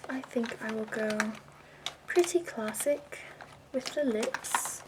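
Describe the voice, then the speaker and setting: A woman voicing a prim, chipper escort who does your makeup, speaking softly in a half-whisper with fussy, doting praise. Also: a little high, noisy background. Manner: soft-spoken, half-whispered and slow. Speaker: ASMR roleplay performer. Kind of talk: soft-spoken ASMR roleplay.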